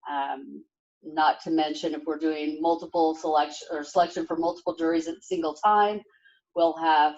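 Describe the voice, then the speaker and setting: A woman giving a report as a court official on a dull-sounding conference call, with hesitant pauses. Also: low-pitched, fairly even intonation, a little mellow. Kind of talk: meeting report.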